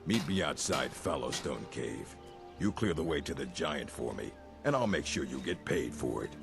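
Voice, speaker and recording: An actor playing a gruff, proud orc chieftain in a fantasy game, blunt and commanding, with a gravelly voice. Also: male voice, very deep voice, big pitch swings, moderate pace, heavily acted. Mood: grudging, insistent. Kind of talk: fantasy video game acting. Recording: game dialogue under loud music.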